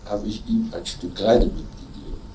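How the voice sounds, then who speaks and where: A man's voice: fairly low pitch, steady tone, normal speed, warm tone. Roomy, noisy recording of man reminiscing. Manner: colloquial, repeats words, vivid storytelling. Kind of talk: casual spoken recollection.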